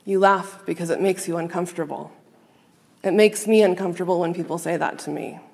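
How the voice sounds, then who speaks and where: A woman minister giving a sermon to a congregation, speaking in a measured, earnest and reflective way with pauses. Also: lowish voice, fairly even intonation, gravelly, a little mellow. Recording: long reverb of a large hall.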